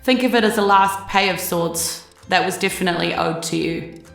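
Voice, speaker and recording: A woman with a New Zealand accent narrating a tabletop game as game master in a relaxed, rambling way with plenty of fillers. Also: on the low side, normal speed, gravelly, reverberant, soft background music.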